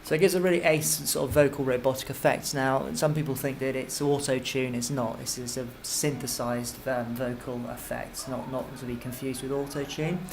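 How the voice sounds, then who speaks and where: A man giving an informal, step-by-step software tutorial as a music technology teacher, explaining as he goes. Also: mid-range voice, steady tone, normal speed, few pauses. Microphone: computer microphone. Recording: clearly noisy computer microphone recording.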